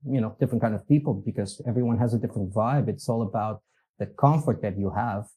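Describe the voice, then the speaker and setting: Portuguese-accented man, very dark voice. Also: on the low side, fast talker, breathy edge. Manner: easygoing, meandering, chuckles at himself. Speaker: podcast guest, company executive. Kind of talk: casual interview conversation.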